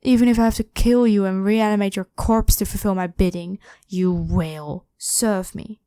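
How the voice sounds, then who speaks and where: A woman's voice: mid-range voice, fairly even intonation, normal speed, dark tone, smooth, dry recording, clean studio sound, lightly acted. A woman voicing an evil ancient pharaoh in an ASMR roleplay, imperious, demanding and scornful as she addresses the listener. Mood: imperious, menacing. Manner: haughty, commanding, theatrically scornful.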